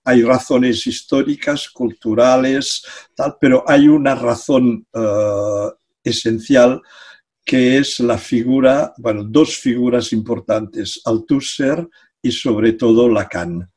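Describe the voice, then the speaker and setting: An older Catalan man answers interview questions over a webcam from his home study. His voice is slightly husky and sits at an ordinary pitch. He speaks in a relaxed, halting way and repeats words as he searches for them. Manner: hesitant, repeats words, laughs between phrases. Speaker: guest, psychology professor. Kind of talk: casual conversational interview. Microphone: video call webcam.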